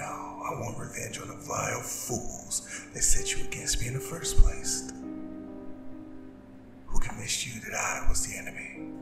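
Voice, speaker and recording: A man playing a proud, vengeful and seductive demon king in an ASMR audio drama, speaking in a breathy, gravelly half-whisper with slow, grandiose delivery. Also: medium pitch, close to an everyday voice. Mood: brooding, vengeful. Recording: roomy reverb, distant, music behind. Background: music.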